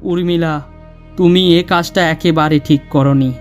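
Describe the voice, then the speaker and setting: A man's voice: a little high, steady tone, unhurried, lightly acted. A man voicing a grieving, accusing ghostly husband and father in a horror cartoon, stern and solemn. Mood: accusing, vengeful. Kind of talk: dramatic horror cartoon acting. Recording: long reverb, music underneath.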